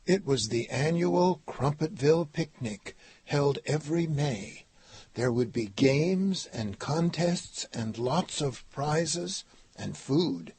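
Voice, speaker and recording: A man's voice: a little high, expressive, slow pace, a little mellow, slight background noise. A man narrating a children's story as a read-aloud. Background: music.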